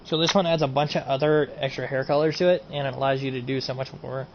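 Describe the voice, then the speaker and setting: A man's voice: mid-range voice, fairly even intonation, quick pace. A man streaming a casual game mod showcase, rambling and repeating himself as he trails off. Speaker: gaming streamer. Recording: dull lo-fi home recording, faint noise.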